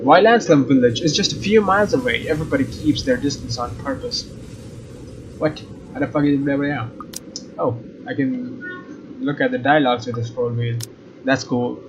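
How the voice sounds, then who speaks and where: A man's voice: mid-range voice, moderate pace. A young man doing a let's play, reading a visual novel aloud and adding casual commentary. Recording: dull lo-fi mic, faint noise.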